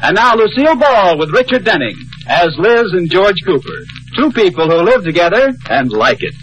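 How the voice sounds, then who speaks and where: A man's voice: high-pitched, sing-song, normal speed. A man with an American accent announcing a 1950s radio show in scripted, old-time style. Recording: dull old radio recording, music behind.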